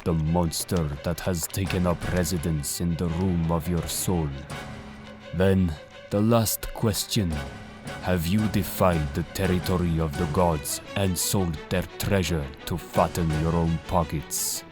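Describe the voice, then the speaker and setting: A man's voice: deep voice, fairly even intonation, a little slow. A man playing a solemn, menacing mystical Egyptian avenger in an audio drama, speaking in a grave, portentous manner. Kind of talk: dramatic audio manga acting. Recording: voice over prominent dramatic music.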